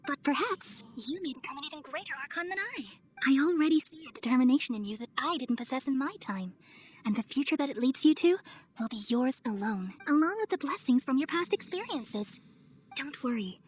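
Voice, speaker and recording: A woman playing a serene, wise and motherly goddess in an anime-style video game, speaking gently and solemnly. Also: sits high, expressive, brisk, a little hiss or hum. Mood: gentle, reassuring. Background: music.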